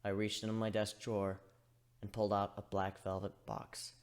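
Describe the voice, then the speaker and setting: A man reading first-person fan fiction as narrator in a subdued, hushed delivery. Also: fairly low pitch, flat delivery, normal speed. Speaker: story narrator.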